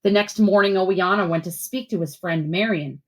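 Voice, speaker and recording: A woman reading aloud as a teacher to schoolchildren, with expressive, deliberate phrasing. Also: fairly low pitch. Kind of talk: read-aloud storytelling and simple instructions for children.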